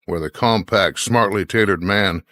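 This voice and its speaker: A man's voice: sits low, unhurried, a bit bright, dry recording. A man reading scripted narration and credits as the announcer of a radio mystery.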